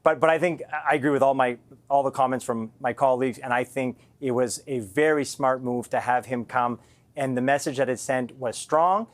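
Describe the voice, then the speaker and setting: A man's voice: mid-range voice, moderate pace. A man giving conversational political commentary on a live TV panel.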